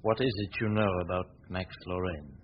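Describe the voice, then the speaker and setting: Suave gentleman sleuth played by a male actor: low, measured, formal questioning, thin noisy forties radio recording. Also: lightly acted. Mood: serious, probing. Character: suave, probing gentleman detective, calm and concerned. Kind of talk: 1940s radio drama acting. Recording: tinny, noisy 1940s radio recording with rumble.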